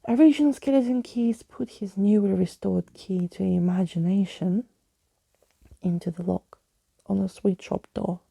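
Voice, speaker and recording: Very creaky, soft ASMR woman storyteller. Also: mid-range voice, fairly even intonation, moderate pace, no room sound. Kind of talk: ASMR bedtime story reading.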